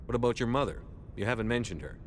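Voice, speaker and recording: A man playing a steady, courteous military commander in a video game, speaking in a calm, measured, inquisitive way. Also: mid-range voice, slight background noise, close to an everyday voice. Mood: curious, friendly. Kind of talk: video game dialogue acting.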